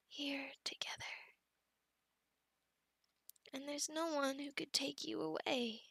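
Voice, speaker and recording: A woman playing a possessive, lovestruck female werewolf in a whispered ASMR roleplay, slow and coaxing, soothing yet menacing. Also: high voice, sharp tone, no room sound, clean studio sound, full character voice. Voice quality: breathy whisper with creaky edges.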